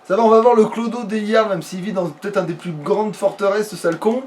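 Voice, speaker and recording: A man's voice: high voice, fairly even intonation, a little fast, darkish tone. A man does casual, muttered game commentary with a friend. His talk is informal and a little crude. There is light background noise on the amateur recording. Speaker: let's player.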